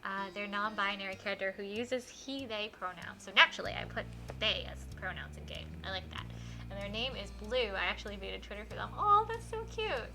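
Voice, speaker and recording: A woman's voice: mid-range voice, expressive, a little fast, sharp tone, slight background noise, rough recording. A woman live streamer reading a visual novel aloud expressively and chatting casually, with enthusiastic, gushing reactions.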